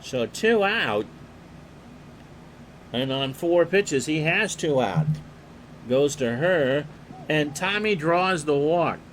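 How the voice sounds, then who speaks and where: Slow, calm baseball play-by-play man. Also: fairly high pitch, slight background noise, poor audio. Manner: calm, unhurried, reads player stats and chats with viewers. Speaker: play-by-play announcer and streamer. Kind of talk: replay baseball play-by-play commentary.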